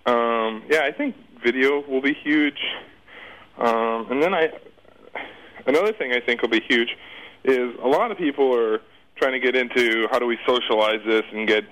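A man's voice: medium pitch, normal speed, fairly bright tone, rough recording. A man giving casual interview answers as a guest, informal and rambling in the way he talks.

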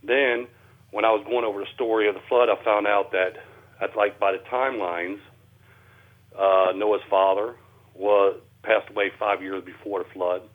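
An American man answering as an interview guest, plain-spoken and halting, over a narrow telephone line. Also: on the low side, steady tone. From Cincinnati, Ohio, USA. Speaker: interview guest, amateur researcher.

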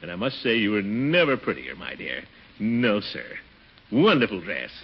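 A dull vintage radio recording carries a husky, blustering middle-aged uncle. He is pompous, fond and flirtatious, his theatrical delivery leaping all over his range in 1940s situation-comedy style. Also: on the low side, sing-song, moderate pace, full character voice. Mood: effusive, eager.

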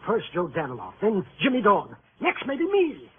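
An actor playing a nervous, shifty showbiz manager in a 1950s radio crime drama, excitable, pleading and evasive. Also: male voice, high-pitched, normal speed, slightly rough, exaggerated voice. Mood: defensive, anxious. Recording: tinny, faintly noisy old radio recording.

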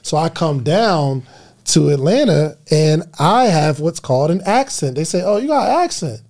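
A man from New Jersey with a New Jersey accent, telling stories as a podcast guest in a relaxed way with many restarts. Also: a little high, normal speed. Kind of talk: casual podcast conversation.

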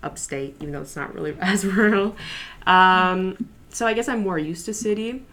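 High school girl, Polish first language, low voice, relaxed and chatty, talks about herself in an interview. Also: moderate pace. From New York City, USA. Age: high school senior. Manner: relaxed, chatty, frequent fillers and soft laughs. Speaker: interview guest, student. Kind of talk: casual interview.

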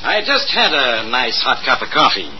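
An actor playing a suspicious, tough American police detective, gruff and sarcastic, in an old-time radio drama. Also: male voice, mid-range voice, big pitch swings, moderate pace, close to an everyday voice. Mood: suspicious, dry. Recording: muffled, noisy vintage radio recording.

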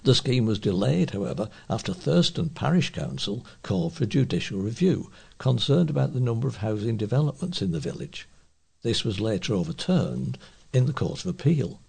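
A man's voice: medium pitch, very animated, normal speed, creaky, a little mellow, slightly rough. A man reading local newspaper reports aloud as a volunteer newsreader.

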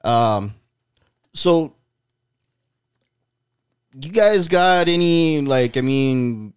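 A man's voice: a little high, lively intonation, drawn-out words, clean tone. A man hosting a casual interview, asking questions and reacting eagerly. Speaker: podcast host.

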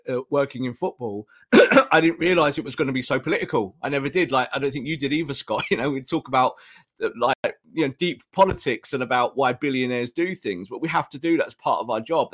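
Male podcast co-host, earnest fan opinions, voice a bit hoarse. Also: medium pitch, a little fast, fairly bright tone. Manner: earnest, opinionated, frequent restarts. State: losing his voice. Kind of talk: casual football fan discussion.

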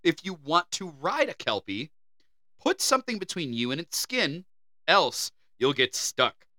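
A man's voice: on the high side, very animated, a little slow, pushed and bright, dry recording, clean studio sound. An American man co-hosting a comedy podcast, reading folklore aloud and joking with casual swearing. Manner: reads folklore aloud, then riffs with swearing and laughs. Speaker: podcast co-host.

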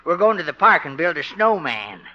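An actor playing a jovial, joking small-town veterinarian and bachelor pal in a 1950s radio comedy. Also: male voice, on the high side, big pitch swings, a little fast. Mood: jovial, teasing. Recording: muffled, hissy old radio recording.

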